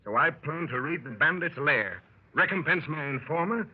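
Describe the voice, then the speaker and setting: An actor playing a plucky gentleman adventurer, telling his exploits wryly in 1930s radio style. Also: a little high, moderate pace, slightly rough. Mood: eager storytelling. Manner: wry, animated storyteller. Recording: muffled 1930s radio broadcast.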